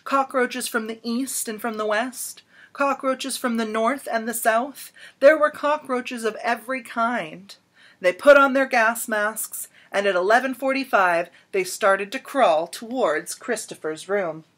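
Higher-pitched woman reading a picture book expressively. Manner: expressive, dramatic storytelling with emphatic pauses. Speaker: storybook reader. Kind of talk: children's picture book read-aloud. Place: small indoor room at home.

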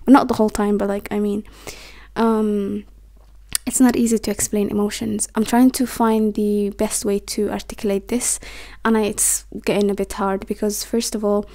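A woman's voice: mid-range voice, flat delivery, moderate pace, warm tone. A woman in her twenties whose first language is Somali, talking to the camera in a small bedroom, rambling and stumbling over her words as she reflects aloud. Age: 20s. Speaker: vlogger. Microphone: camera on a desk, facing her.

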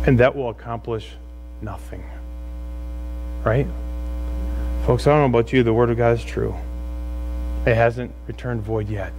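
A man's voice: medium pitch, low-quality recording. A middle-aged man preaching as a pastor, conversational and exhorting, putting rhetorical questions to his congregation. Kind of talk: sermon to a congregation. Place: church room, speaking from a stage. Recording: steady mains hum and noise.

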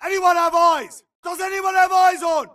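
Video game soldier yelling urgent clipped battle callouts, bit off mic. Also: male voice, very high voice, steady tone, moderate pace, far from the mic, a loud crowd, very noisy. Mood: urgent alarm. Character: gruff, urgent infantry grunt soldier in battle. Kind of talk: shouted combat barks.

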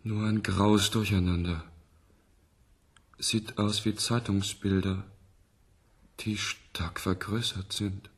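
A man in a German radio play playing a young test subject who relives memories in a trance, halting and wondering. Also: fairly low pitch, fairly even intonation, breathy edge, full character voice. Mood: dazed, puzzled. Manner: halting, searching, wondering delivery.

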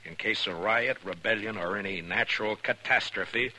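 An American actor playing a weary, stern, principled frontier marshal in a 1950s radio western. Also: male voice, lowish voice, normal speed, bright, forceful tone, low-quality recording. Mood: pensive, urgent. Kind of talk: 1950s radio drama acting. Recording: 1950s radio recording, faint noise.

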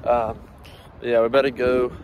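A man's voice: medium pitch, level tone, normal speed, phone-quality sound, noisy background. A young man, a college baseball player, talking casually to a phone held at arm's length as he goes about his day, with lots of fillers. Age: young adult. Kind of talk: casual vlog narration. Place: outdoors on campus and in a campus restaurant. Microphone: phone camera held at arm's length.

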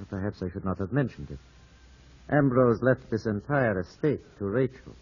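An actor playing a suave, insinuating gentleman with formal speech and a husky voice in a 1950s radio drama style. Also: lowish voice, lively intonation, normal speed. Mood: smoothly insinuating. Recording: muffled old radio recording.

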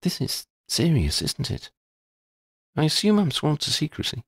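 A man's voice: huge pitch swings, normal speed, gravelly, slightly rough, no room sound, clean studio sound, heavily acted. Man doing a flustered eager schoolgirl. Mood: curious. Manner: high put-on voice, stammering. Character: teenage schoolgirl, curious and eager, a bit flustered.

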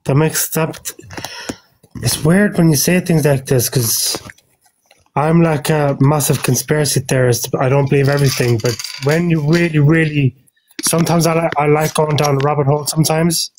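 A man's voice: on the high side, fairly even intonation, normal speed, darkish tone, slight background noise, poor audio. A man on a live-stream debate, chatting casually in a rambling way and restarting his sentences.

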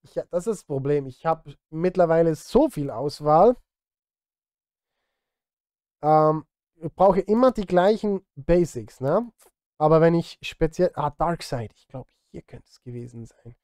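A middle-aged man streams from his home studio with a mellow, slightly high voice. He thinks aloud in loose, casual chat, muttering and grumbling at himself. The sound is close and dry. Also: lively intonation, a little slow, no echo. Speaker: streamer and film music composer. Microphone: close studio microphone.

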